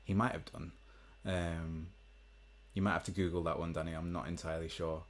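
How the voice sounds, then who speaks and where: A young man with a deep voice, chatting casually to live-stream viewers between songs, rambling with many ums. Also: flat delivery, brisk. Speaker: musician hosting a live stream. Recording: faint guitar behind.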